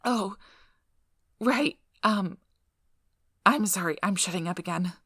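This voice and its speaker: A woman's voice: mid-range voice, slow, a bit bright, husky, no echo, studio quality, full character voice. A woman playing a nervous, eager researcher in an ASMR roleplay, rambling in a flustered, apologetic way. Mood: sheepish, apologetic. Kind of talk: ASMR roleplay audio drama.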